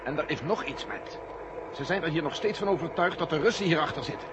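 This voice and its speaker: A man's voice: a little high, quick pace, natural voice. A male actor playing an earnest military general in a Dutch radio drama, reasoning with urgency. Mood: grave. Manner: urgent, persuasive. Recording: muffled old radio recording, loud noise.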